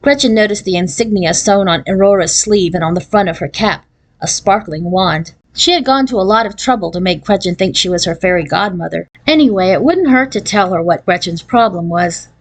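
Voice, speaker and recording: A woman's voice: mid-range voice, lively intonation, a little fast. A woman narrating a children's novel as a read-aloud, following a written script. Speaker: audiobook reader.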